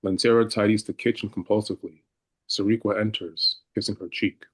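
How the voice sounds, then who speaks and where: A man's voice: lowish voice, fairly even intonation, fairly quick. A man reading stage directions as scripted narration for a drama.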